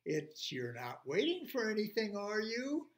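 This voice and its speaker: A storyteller voicing a huge, bullying male T-Rex with a drawn-out, defiant manner. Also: medium pitch, wildly swooping pitch, pitched above the performer's own voice. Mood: smug, taunting. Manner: drawn-out, swooping, taunting.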